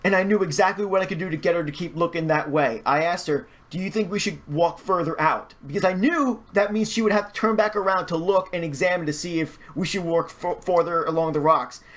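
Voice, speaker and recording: Higher-pitched man in casual, stammering chat. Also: fairly quick, few pauses. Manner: animated retelling with stammered false starts and repeated words. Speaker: podcast guest, hobby artist and fandubber.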